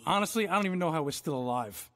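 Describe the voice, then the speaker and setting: A man's voice: a little high, animated, very fast, dry recording, clean studio sound. A man playing an impatient, wisecracking sidekick in a video game, casual and exasperated. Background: loud music.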